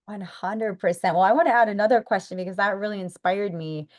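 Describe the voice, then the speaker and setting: A woman's voice: medium pitch, a little fast. A woman with an American accent hosting a podcast interview, affirming and enthusiastic as she asks questions. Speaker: podcast host.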